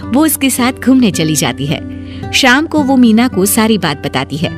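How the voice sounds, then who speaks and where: A woman's voice: fairly high pitch, very animated, a little slow. A woman reading scripted narration for a moral story. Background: plucked guitar music.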